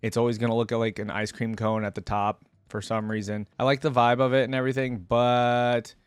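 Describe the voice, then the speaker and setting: A man's voice: medium pitch, level tone, fairly quick, smooth, no echo. A man giving casual, informal reaction commentary with gamer slang, hosting for viewers. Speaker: host reacting to game content.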